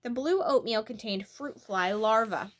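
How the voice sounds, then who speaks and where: Bright-voiced woman reading a children's story. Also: fairly high pitch, a little slow. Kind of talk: expressive read-aloud narration of a children's story.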